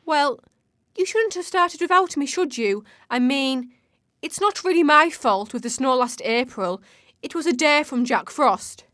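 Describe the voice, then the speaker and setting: A woman's voice: high-pitched, expressive, unhurried, a bit bright. A woman acting a haughty, stubborn winter spirit in a comedic radio drama, snide and argumentative. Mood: flippant. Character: Winter, haughty petulant female season, stubborn and sarcastic.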